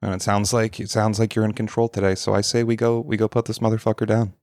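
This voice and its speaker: A man's voice: fairly low pitch, flat delivery, fast, a little mellow, no room sound, studio quality, lightly acted. A man playing a hungover, troubled male getaway driver in a tabletop game, talking casually and supportively with some rambling.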